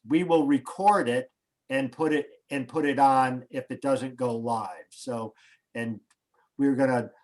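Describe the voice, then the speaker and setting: A man's voice: mid-range voice, a little mellow. Man coaching over a video call. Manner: hesitant, restarts, coaching tone. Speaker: host. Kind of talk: casual pre-show video call chat.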